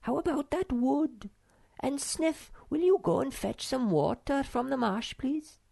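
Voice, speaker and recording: Snork Maiden, a woman doing a vain high girlish creature. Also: expressive, a little slow, gravelly, warm tone, no room sound, heavily acted. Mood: breezy. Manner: high, breezy and coaxing. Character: vain sweet young female Snork Maiden. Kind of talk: audiobook character voice.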